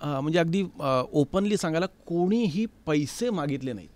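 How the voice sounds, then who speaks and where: A man's voice: fairly high pitch, lively intonation, normal speed, darkish tone. A middle-aged man telling stories conversationally as an interview guest, repeating words and slipping into Hindi and English. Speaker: interview guest, tabla player and festival organiser. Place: recording studio.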